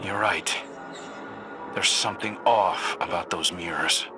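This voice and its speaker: An actor playing a brooding, wry human scout in a video game, giving clipped tactical guidance to a comrade. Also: male voice, low voice, unhurried. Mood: wry, wary. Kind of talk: video game character dialogue. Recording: game dialogue over loud music.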